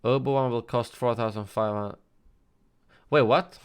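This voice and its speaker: Smooth-voiced man chatting on a livestream. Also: medium pitch, steady tone, a little slow. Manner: chatty, reads and answers viewer chat; frequent fillers and self-corrections. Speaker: gaming news livestreamer. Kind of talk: casual livestream chat.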